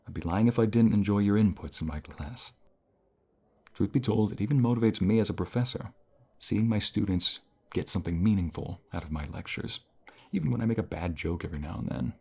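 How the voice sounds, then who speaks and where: A man playing a caring, encouraging college professor in an intimate ASMR role play, speaking softly in a gentle, unhurried, reassuring way. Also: fairly low pitch, gravelly, breathy edge. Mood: warm, sincere. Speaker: voice actor in an audio role play.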